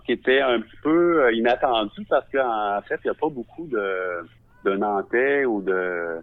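Low-voiced Québécois man on a phone-like line. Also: no room sound. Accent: Québécois French. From Quebec. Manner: reflective, measured, searching for words. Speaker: visual artist, podcast guest. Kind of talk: personal storytelling for a podcast. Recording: narrow phone-like sound, dry.

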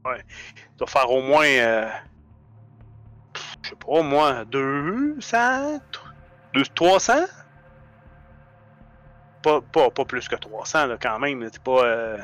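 A man's voice: medium pitch, big pitch swings, slow pace, long pauses, fairly bright tone, faint music behind. A man playing his character in a tabletop game, boastful and playful, often stammering and restarting. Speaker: player.

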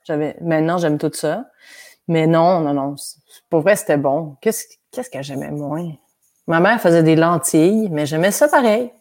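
Québécois woman guest, low-pitched, chatty and laughing, casual interview talk. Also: normal speed, a little mellow. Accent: Québécois French. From Quebec. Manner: laughs often, chatty with many restarts. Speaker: guest pianist.

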